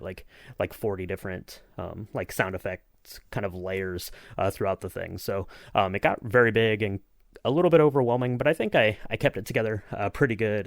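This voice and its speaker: Male podcast host, fairly low voice, loose rambling conversational delivery, very dry recording. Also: dry recording. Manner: rambling, hedging with kind of and you know. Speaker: podcast host and sound designer. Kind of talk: casual behind-the-scenes chat.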